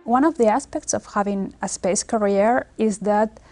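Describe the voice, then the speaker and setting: A woman in her forties from Spain, speaking English with a Spanish accent in a seated office interview, earnest and measured with many filler pauses. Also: medium pitch, mellow, faint music behind. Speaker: space agency engineer. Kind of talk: documentary interview. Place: office with large screen and window.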